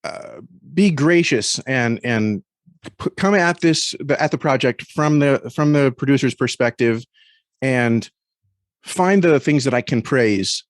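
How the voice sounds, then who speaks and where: A man speaking as a guest critic in a thoughtful podcast conversation, measured and often repeating words as he chooses them. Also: medium pitch, animated, no room sound.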